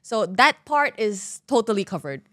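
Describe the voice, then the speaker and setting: A woman's voice: medium pitch, sing-song, moderate pace, bright, forceful tone, no room sound, clean studio sound. A woman from Singapore and Malaysia talking as a guest on a casual podcast, explaining things in a chatty, informal way.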